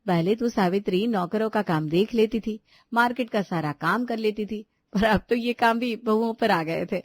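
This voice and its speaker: A woman's voice: medium pitch, normal speed, no echo, clean studio sound. A woman reading scripted story narration as a storyteller.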